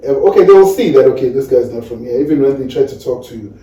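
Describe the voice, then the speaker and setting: A man's voice: mid-range voice, expressive, racing, dark tone, muffled recording. A man from South Africa telling about his trip in casual chat, rambling and hesitant with many fillers and restarts. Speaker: guest recounting a trip. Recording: roomy, distant mic with faint noise.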